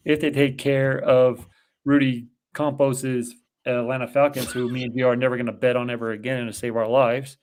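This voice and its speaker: A man's voice: mid-range voice, level tone, normal speed, a little mellow. A man with a Boston accent co-hosting a casual football picks show, rattling off confident predictions. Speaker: sports picks co-host. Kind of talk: casual sports betting chat.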